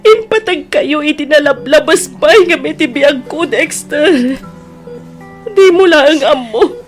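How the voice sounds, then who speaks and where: High-voiced, anguished loving mother. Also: lively intonation, slow. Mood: pained, pleading. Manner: plaintive, emotional delivery. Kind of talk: tearful radio drama acting. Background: music.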